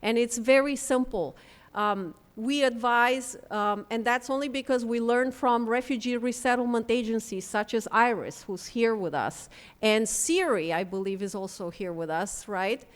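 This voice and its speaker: Woman, conference talk, slightly high voice, halting with ums, long hall reverb. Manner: halting, with many ums and restarts. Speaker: panel speaker for a refugee housing group. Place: conference room.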